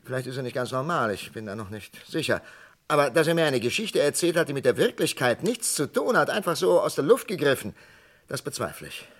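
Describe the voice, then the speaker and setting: An actor playing an insistent, impassioned male psychiatrist in a radio play, urgent and halting, with sarcastic rhetorical turns. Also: mid-range voice, sing-song, a bit bright.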